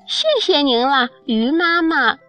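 A woman's voice: sits high, a little slow, sharp tone, faint music behind, voice pushed up. A woman voicing little tadpoles as eager, polite children looking for their mother in a children's storybook. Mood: grateful.

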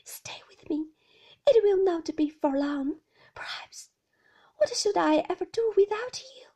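A woman voicing an anxious, fragile young mother in a storybook, speaking in a gentle, fluttered, pleading manner. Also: very high-pitched, lively intonation, measured pace, voice pushed up, heavily acted.

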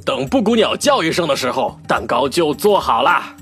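Man playing a jolly, animated cake-shop baker. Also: fairly high pitch, normal speed. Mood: cheerful. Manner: playful, teasing. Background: music.

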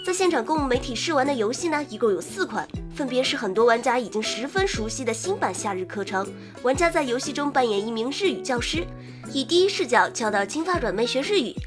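A woman host reading scripted game news in an expressive presenter's manner, slipping in wry, playful asides. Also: high-pitched, a little fast. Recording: music under the voice. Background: background music.

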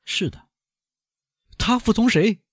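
A man voicing a frantic aging male banker held captive, husky and shrill, pleading and desperate in a storybook reading. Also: slow pace, no echo, exaggerated voice. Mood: haggling.

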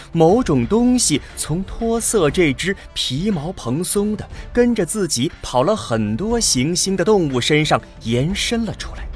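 A man narrating a science fiction radio drama in a dramatic scripted style. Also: high voice. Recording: music behind the narration.

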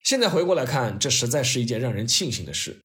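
A man narrating a scripted history story as its host, in an expressive, conversational storytelling manner. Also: mid-range voice, quick pace.

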